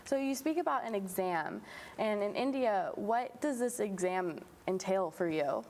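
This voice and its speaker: A woman's voice: medium pitch, animated, a little slow, a little mellow. A woman conducting a student interview, asking questions and responding encouragingly. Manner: encouraging, supportive.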